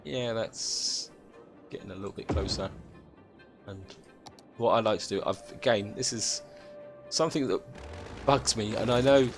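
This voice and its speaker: A British man with a croaky voice from a chest cold, chatting casually as he thinks aloud through a city-building game. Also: lowish voice, steady tone, a little slow, pauses often, music in the background. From Britain. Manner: thinks aloud, chatty, addresses viewers as guys. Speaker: gameplay commentator.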